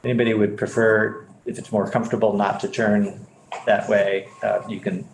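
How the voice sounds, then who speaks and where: A man's voice: on the low side, flat delivery, moderate pace, vocal fry. A man chairing a legislative committee who speaks in an easygoing, hesitant way with frequent "uh"s. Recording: echoey hearing room with faint hiss.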